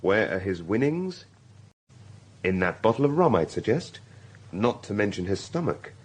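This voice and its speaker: A man's voice: lowish voice, big pitch swings, moderate pace, slightly rough. An actor playing a tired male hospital doctor in a radio drama, wry and teasing.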